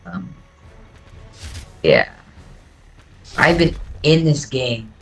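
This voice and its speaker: A man's voice: fairly high pitch, wildly swooping pitch, drawn-out words, gravelly, slightly rough, faint music behind. Easygoing guy chatting with a friend. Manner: laid-back, teasing, chuckles between remarks. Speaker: friend on voice chat. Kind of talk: casual chat.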